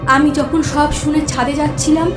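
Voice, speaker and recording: A woman playing the loving but vengeful ghost of a dead aunt in a cartoon horror story, confessing mournfully and deliberately. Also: sits high, little pitch movement, natural voice. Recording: echoing voice over music.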